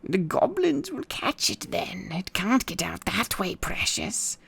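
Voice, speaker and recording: A man voicing a wretched, obsessive cave creature in a storybook reading, muttering repetitively to itself in broken grammar with a hissing, raspy whisper. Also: high-pitched, sing-song, takes it slowly, fairly bright tone, voice pushed up, heavily acted. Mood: fearful, urgent. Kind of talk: acted audiobook reading.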